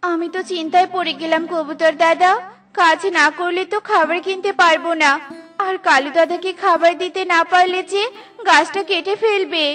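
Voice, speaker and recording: A woman acts out a timid, gentle mother bird from a children's cartoon. Her voice is extremely high and bright, with music behind. She speaks earnestly, as if pleading. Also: steady tone, moderate pace. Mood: worried. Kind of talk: cartoon character dialogue.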